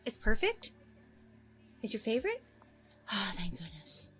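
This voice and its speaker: A woman's voice: medium pitch, huge pitch swings, unhurried, a bit bright, slight background noise. A woman playing a friendly, nervous single mom on a blind date in an ASMR roleplay, rambling casually in a bubbly way. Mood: relieved. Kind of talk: ASMR audio roleplay, conversational.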